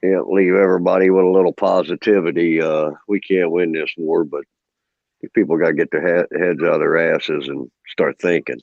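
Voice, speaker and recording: A man's voice: sits low, fairly even intonation, normal speed, gravelly, no echo, dull audio. A man in his fifties, calling into a livestream and ranting about politics in a preachy, emphatic way. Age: 50s. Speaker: caller on a livestream. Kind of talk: casual political rant.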